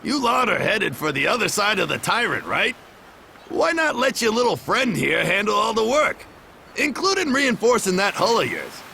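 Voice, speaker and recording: Gravelly, high-pitched, boisterous veteran shipwright. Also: male voice, sing-song, normal speed, bright, forceful tone, slight background noise, full character voice. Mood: hearty, impressed. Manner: blunt, hearty, rough colloquial talk. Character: gruff, boisterous veteran shipwright mentor, tough but approving. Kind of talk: video game cutscene voice acting.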